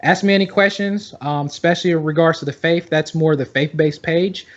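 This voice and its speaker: A man's voice: a little high, steady tone, brisk, highs cut off. A man co-hosting a fantasy basketball podcast in casual banter, rambling and emphatic, often repeating himself for effect. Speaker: podcast co-host.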